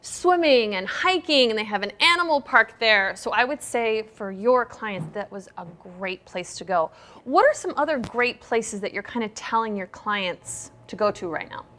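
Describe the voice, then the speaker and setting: A woman's voice: fairly high pitch, lively intonation, moderate pace, sharp tone. A woman hosting a lifestyle talk show, interviewing in an upbeat, chatty, enthusiastic way. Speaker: TV show host.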